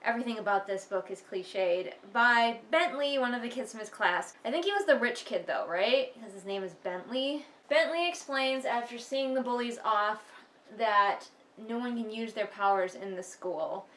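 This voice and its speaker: A woman's voice: on the high side, moderate pace, a bit bright. Sarcastic woman in an echoey room. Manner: sarcastic, exasperated. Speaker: host of a book read-along. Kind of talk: casual mocking commentary on a book.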